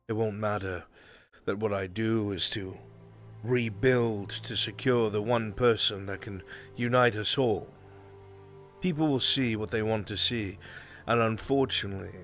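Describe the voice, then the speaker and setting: A man playing a grave, fatherly older military commander in a fantasy roleplay, speaking in a measured, solemn, counselling way. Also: fairly low pitch, fairly bright tone. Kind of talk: live fantasy roleplay, improvised dramatic acting. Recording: music behind the voice.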